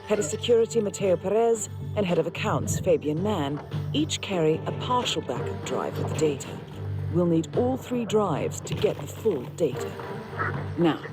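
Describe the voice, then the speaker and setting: An actress playing a composed, resolute intelligence operative in a video game, speaking in a crisp, businesslike way. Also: female voice, lowish voice. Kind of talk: cinematic game dialogue, mission briefing. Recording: loud music behind the voice.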